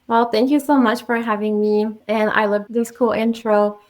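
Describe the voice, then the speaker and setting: A French Canadian woman whose first language is French, a podcast guest telling her story in a steady, optimistic way. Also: fairly high pitch, normal speed. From French-speaking Canada. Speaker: guest, real estate agent. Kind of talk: podcast interview.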